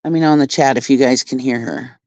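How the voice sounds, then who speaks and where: A woman's voice: a bass voice, fast talker, darkish tone, dry recording. A woman hosting a casual livestream who rambles, chats with her viewers and laughs often. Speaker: channel host reacting to clips. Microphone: phone. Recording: dry, dull lo-fi sound.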